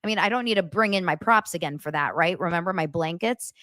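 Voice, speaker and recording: A woman's voice: lowish voice, fast talker, fairly bright tone, no room sound, clean studio sound. A woman hosting a daily pop culture show, chatting to her audience in a gossipy, exaggerated way. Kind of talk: casual chat to camera.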